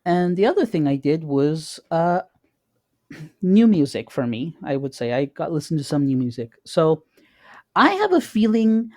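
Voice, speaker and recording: A man co-hosting a podcast, chatting informally and haltingly, often repeating words as he goes. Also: on the high side, very animated, a little mellow, no echo, studio quality. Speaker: podcast co-host. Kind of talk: casual chat.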